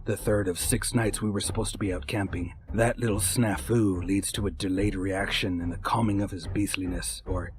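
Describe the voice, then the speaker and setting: A man's voice: deep voice, fairly even intonation, normal speed, few pauses, slight background noise, close to an everyday voice. A man playing a wry camper on the run, narrating a horror story in a dry storytelling manner. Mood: tense, wry. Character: wry male camper fleeing a werewolf cousin.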